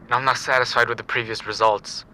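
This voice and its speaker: A man's voice: mid-range voice, steady tone, fast talker, pushed and bright, a little hiss or hum, low-quality recording. A man playing a sadistic, coldly amused killer in an audio drama, taunting and menacing in a matter-of-fact way.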